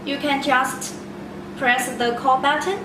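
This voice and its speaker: A woman giving a step-by-step product demonstration in simple, deliberate non-native phrasing. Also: high-pitched, steady tone. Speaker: product presenter. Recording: echoey room, distant mic, loud noise.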